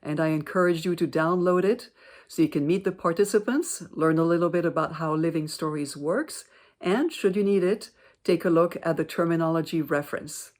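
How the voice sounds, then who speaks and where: A woman introducing a program as its director, in a calm, welcoming, scripted way. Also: on the low side, normal speed. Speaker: director and producer.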